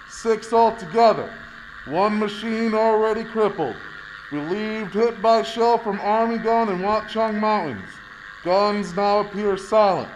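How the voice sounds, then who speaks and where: A man's voice: sits high, slight background noise, rough recording. A man playing a resolute bomber pilot in a 1930s-style radio play, giving clipped military reports. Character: resolute male army bomber pilot reporting from his cockpit.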